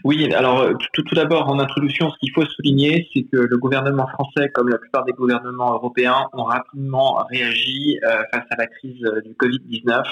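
Man, financial advisor, measured and hesitant, dull remote-call sound, faint hiss. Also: mid-range voice, little pitch movement, fairly bright tone. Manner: measured, explanatory, many hesitations. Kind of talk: remote interview.

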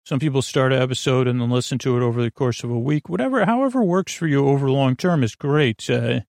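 Gravelly man rambling through a bedtime monologue. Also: mid-range voice, expressive, a little mellow, dry recording, studio quality. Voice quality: gravelly, creaky. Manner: meandering, halting, trailing off and circling back. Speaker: podcast host. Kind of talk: rambling bedtime monologue to help listeners sleep.

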